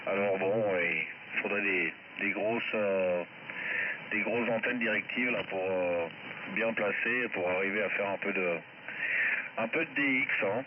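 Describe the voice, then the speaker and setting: Muffled shortwave reception with clear static carries a low-voiced man. He is an amateur radio operator, chatting casually with another station over the air. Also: a little slow. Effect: shortwave amateur radio transmission. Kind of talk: casual radio contact chat. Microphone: heard through a radio receiver.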